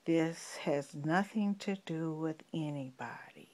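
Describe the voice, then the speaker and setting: A woman voicing a patient, solemn male gatekeeper who questions children deliberately in a bedtime story. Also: low-pitched, darkish tone, voice pushed down.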